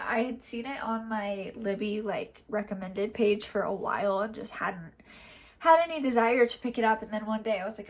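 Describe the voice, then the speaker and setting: A young woman with a cold and a congested, nasal voice, chatting casually in a rambling reading update full of fillers. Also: a little high. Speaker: book vlogger.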